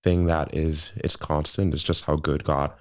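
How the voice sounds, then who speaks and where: A young man from South Florida, speaking as an interview guest in a reflective, earnest, measured and somewhat halting way. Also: very deep voice, little pitch movement. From South Florida, born in Jamaica. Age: young adult. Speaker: podcast guest, medical student.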